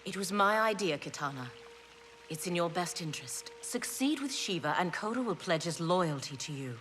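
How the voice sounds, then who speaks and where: A woman's voice: on the low side, lively intonation, moderate pace, fairly bright tone, a little hiss or hum. An actress playing a confident, pragmatic warrior woman in a video game, with an assured, persuasive delivery. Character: confident, pragmatic female warrior, loyal adviser. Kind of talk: video game cutscene acting.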